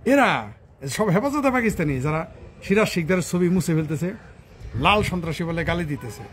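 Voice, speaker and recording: A man's voice: high voice, expressive, normal speed. A man with a Bangladeshi Bengali accent delivers an informal political rant, combative and scornful, swearing freely and firing off rhetorical questions. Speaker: political commentator.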